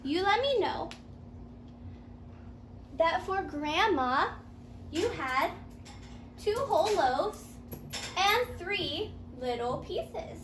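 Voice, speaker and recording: A woman teacher with a very high voice leads a playful, storybook-style lesson at an unhurried pace. She is encouraging, asking sing-song questions and repeating her pupil's answers. The room is echoey, with faint noise behind her. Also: expressive, a bit bright. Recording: roomy echo, faint background noise.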